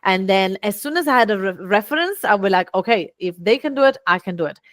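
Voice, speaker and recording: An animated middle-aged woman with an Italian accent, swinging her pitch up high as she acts out what people said to her. Her voice sits mid-range, and the recording is close and dry with almost no room sound. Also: fast talker, no room sound. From Italy. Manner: lively, acts out quoted dialogue with big pitch jumps. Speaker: guest, VA agency founder. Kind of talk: animated storytelling in conversation.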